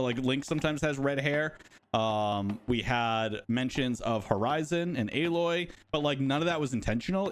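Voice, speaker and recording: A man hosting a casual live stream for a game studio, chatting and answering viewers' questions. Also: mid-range voice. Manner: chatty, rambling, talks to chat.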